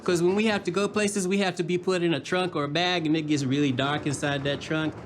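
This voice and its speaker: A man voicing Tevin, a chatty high-pitched puppet. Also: steady tone, a little fast, slight background noise, close to an everyday voice. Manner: folksy, complaining, conversational. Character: chatty, grumbling young puppet named Tevin. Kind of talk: puppet character talk.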